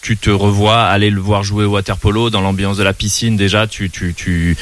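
A man's voice: lowish voice, little pitch movement, normal speed. A man hosting a podcast interview in a room at an association's premises, asking friendly questions with many fillers. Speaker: podcast host. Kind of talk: casual interview talk.

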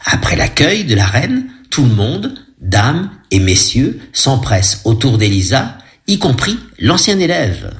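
A man describes a film aloud in a chatty, ironic way, and his pitch moves a lot. He keeps breaking off to joke with his listeners. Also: big pitch swings, unhurried, hardly any pauses. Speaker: audio describer.

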